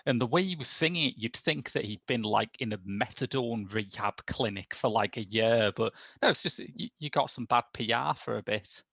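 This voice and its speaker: A man's voice: medium pitch, normal speed, vocal fry, hardly any pauses, a bit bright, no room sound. A man in casual film discussion as a panelist, wry and opinionated. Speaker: podcast panelist reviewing films. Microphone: remote call microphone.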